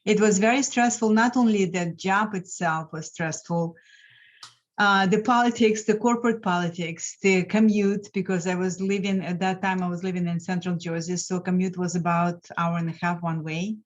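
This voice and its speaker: A middle-aged or older woman from Lithuania, answering as an interview guest in a halting, hesitant way. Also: lowish voice, steady tone. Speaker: interview guest, financial mentor. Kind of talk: conversational interview. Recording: slightly dull, lo-fi audio.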